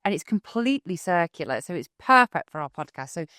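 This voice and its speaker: A woman's voice: lowish voice, big pitch swings, fairly quick, a bit bright, no room sound, clean studio sound. A woman co-hosting a podcast, introducing a guest in an enthusiastic, effusive way. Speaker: podcast co-host. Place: conference venue.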